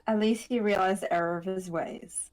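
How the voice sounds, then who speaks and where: A woman's voice: mid-range voice, steady tone, normal speed, darkish tone. A woman chatting casually as a podcast panelist in a dry, understated way.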